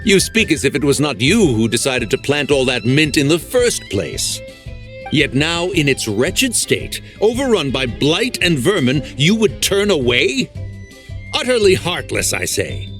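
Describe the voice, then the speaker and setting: A man's voice: on the high side, sing-song, measured pace, fairly bright tone, faint music behind, exaggerated voice. A man voicing a pompous, theatrical immortal beast in a video game, speaking in archaic, plaintive phrases. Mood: lamenting.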